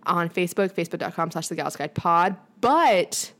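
A woman's voice: fairly low pitch, lively intonation, normal speed, a bit bright. A young woman co-hosting a podcast from an office in her apartment, talking in a chatty, upbeat way full of "like.". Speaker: podcast co-host. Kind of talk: casual podcast chat.

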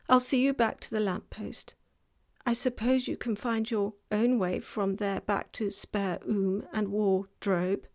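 A woman voicing a gentle, guilt-ridden male fawn in an anguished, self-reproaching, melodramatic way for a children's storybook. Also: medium pitch. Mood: resolved, hushed. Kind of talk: dramatized children's storybook reading.

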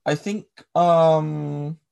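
A man's voice: a little high, fairly even intonation, extremely slow, creaky, a little mellow, clean tone. An English man hosting a podcast, rambling through casual chat with lots of filler words. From England. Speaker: podcast host.

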